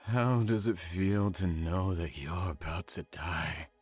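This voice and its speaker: A man's voice: low-pitched, takes it slowly, a little mellow, husky, soft background music, heavily acted. A man playing a gleeful, sadistic serial killer in an audio drama, drawling and mockingly polite. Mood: cold menace.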